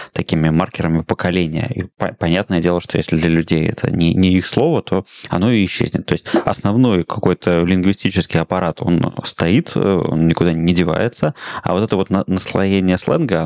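Low-voiced measured male host. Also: gravelly, a little mellow, no room sound, clean studio sound. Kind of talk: studio interview.

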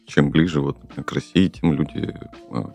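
Young man from Kazakhstan, extremely low voice, gravelly texture, hesitant casual guest, faint music underneath. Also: fairly even intonation, moderate pace, warm tone. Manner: hesitant, many fillers and restarts. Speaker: podcast guest. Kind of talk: casual interview talk.

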